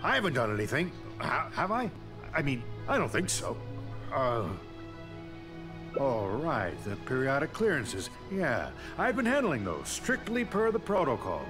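An actor voicing a nervous warehouse clerk in a video game, talking in a flustered, anxious, over-eager way, with music playing behind. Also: male voice, medium pitch, huge pitch swings, unhurried. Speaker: game character.

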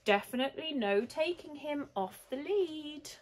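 Storybook boy, read by a woman: careful dog owner, firm, emphatic, instructing, varied pitch. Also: expressive, unhurried.

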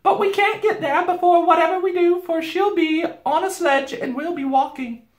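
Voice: very high-pitched, normal speed, pitched above the performer's own voice. A performer voicing an anxious, sensible young girl from a storybook, speaking urgently in a roomy space. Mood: worried. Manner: urgent, fretful.

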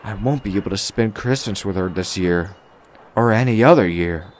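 A man's voice: low-pitched, normal speed, a little hiss or hum, lightly acted. A man voicing a sad, lonely male steam engine in a children's story, speaking in an earnest, wistful way. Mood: glum, sad.

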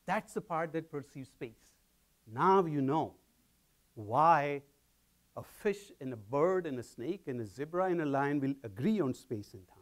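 Middle-aged Indian-accented man, public lecturer, lively up-and-down delivery, slightly high voice, lapel mic in a lecture hall. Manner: animated, gesturing while pacing the stage. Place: large lecture hall with audience.

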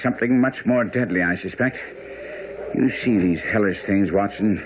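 An actor playing a brisk, confident, keen detective who lectures incisively in a radio drama. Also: male voice, on the low side, close to an everyday voice. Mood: assured. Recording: phone-like, faintly noisy old radio broadcast.

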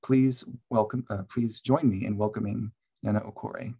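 A man moderating a panel on a video call, reading a formal introduction of the speaker in a steady, measured way. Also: lowish voice, husky.

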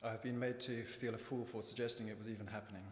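A man's voice: fairly low pitch, flat delivery, slight background noise. Zimbabwean man, earnest, echoey church hall. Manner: measured, earnest, reading from notes. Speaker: church member giving testimony. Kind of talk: reading a personal testimony. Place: church hall with raised stage. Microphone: lectern microphone. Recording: echoey hall from lectern mic.

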